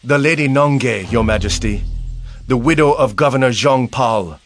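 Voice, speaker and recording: Man acting as gravelly, honorable Korean general. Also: medium pitch, a bit bright, a little hiss or hum, lightly acted. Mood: solemn. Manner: respectful, measured, formal. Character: loyal, honorable Korean army general, sober and respectful. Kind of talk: dramatic video game cutscene acting. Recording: game audio with music underneath.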